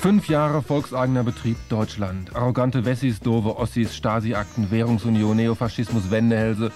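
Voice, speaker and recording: A man's voice: medium pitch, fairly even intonation, moderate pace, music in the background. A man hosting a radio magazine show in a loose, tongue-in-cheek way with mock grandeur.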